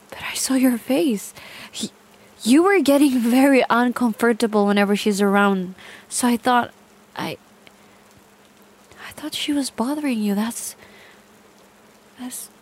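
A woman voicing an obsessive young female stalker who whispers breathily and swings between cooing and yelling in an audio roleplay. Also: a little high, creaky, a little hiss or hum. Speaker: voice actor.

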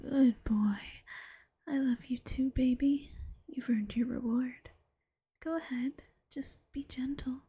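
A woman voicing an affectionate, teasing bunny-girl girlfriend in a slow, coaxing ASMR whisper. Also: sits high, steady tone, warm tone, breathy edge, dry recording, exaggerated voice. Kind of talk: ASMR roleplay.

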